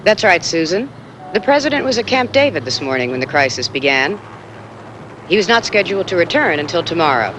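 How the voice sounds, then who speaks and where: Tv field reporter, highish voice, lively inflection, formal, noisy remote feed. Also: male voice, a little fast. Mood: composed, factual. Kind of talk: live news report in a TV drama.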